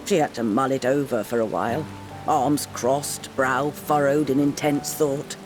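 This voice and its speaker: An actress playing a middle-aged grieving mother in a video game, tender and gently scolding, with measured, heartfelt phrasing. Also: extremely low pitch, fairly even intonation. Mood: fond remembering. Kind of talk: dramatic video game voice acting. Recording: rain and music behind. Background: rain, music.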